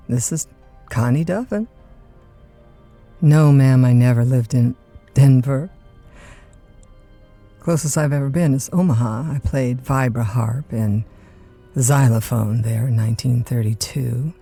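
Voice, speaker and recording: A woman's voice: very deep voice, takes it slowly, pauses often, mellow, husky, soft background music, full character voice. A woman playing a chatty, lonesome overnight disc jockey in an audio drama, with a folksy, polite, hesitant conversational manner. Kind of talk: naturalistic audio drama acting, one side of phone calls.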